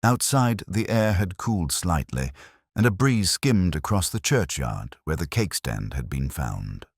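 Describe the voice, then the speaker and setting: Male mystery narrator: extremely low voice, formal literary delivery, lively pitch movement, dry recording. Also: unhurried, slightly rough, no room sound. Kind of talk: scripted story narration.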